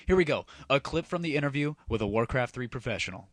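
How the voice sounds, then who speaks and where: A man's voice: mid-range voice, animated, fast talker. A man hosting a comedy audio show, addressing listeners directly with sarcastic, grandiose commentary. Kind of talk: mock-dramatic radio-style commentary over music. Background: music.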